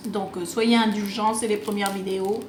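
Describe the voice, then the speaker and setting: A woman's voice: mid-range voice, little pitch movement, moderate pace. A woman chatting casually and unscripted to camera, hesitant and rambling as she presents a craft shopping haul, recorded on a phone on a table. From France, half Italian. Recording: roomy echo, clearly noisy home recording.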